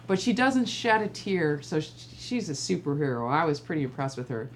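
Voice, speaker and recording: A middle-aged woman casually telling anecdotes in an animated, informal way. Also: low-pitched, brisk, a little hiss or hum. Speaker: storytelling guest, a regular host's mother.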